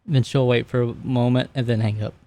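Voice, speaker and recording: Casual, creaky-voiced man at game. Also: mid-range voice, steady tone, fairly quick, warm tone. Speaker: player in a tabletop roleplaying game. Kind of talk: casual out-of-character game talk.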